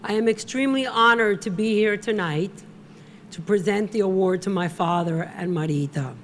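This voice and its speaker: A middle-aged woman presenting an award at a gala, giving a slow, deliberate, emotional tribute speech at a podium microphone. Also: medium pitch, slight background noise.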